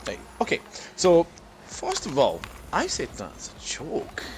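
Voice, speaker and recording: A man's voice: on the high side, wildly swooping pitch, slow, slight background noise. A man chatting casually in a jokey way on a podcast. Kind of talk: casual chat.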